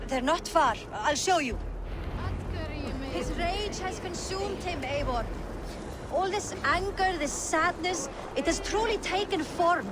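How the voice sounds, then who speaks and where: A woman's voice: very high-pitched, expressive, slow pace, loud music behind the voice, full character voice. A young woman playing an earnest, alert Viking warrior in a video game, speaking directly. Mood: urgent, then concerned. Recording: clear outdoor ambient noise.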